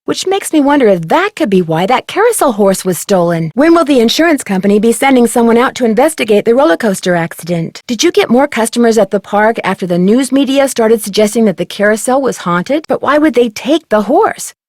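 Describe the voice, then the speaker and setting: Young female detective for an adventure game: higher pitch, inquisitive, courteous, neatly enunciated, close dry sound. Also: lively intonation, brisk, few pauses, a little mellow, dry recording. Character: polite, curious young female amateur detective questioning people. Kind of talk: scripted adventure game dialogue.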